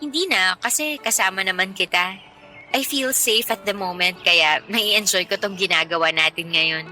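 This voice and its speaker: Sweet smitten girl in audio drama, bright voice, chatty n playful, soft music behind. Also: medium pitch, moderate pace. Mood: playful, shy. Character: sweet smitten young woman on a night date. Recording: light music bed behind.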